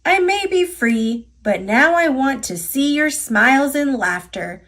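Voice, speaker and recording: A woman's voice: sits high, lively intonation, measured pace, a bit bright. An American woman voicing a cocky, playful little gingerbread man from a storybook, chanting boastful taunts in a sing-song manner.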